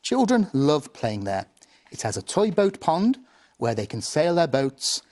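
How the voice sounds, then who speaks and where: A man's voice: on the high side, very animated, unhurried, darkish tone. A man, a primary head teacher, speaking to an audience in a simple, instructive classroom manner. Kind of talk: explaining and teaching to an audience, storybook reading. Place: television studio.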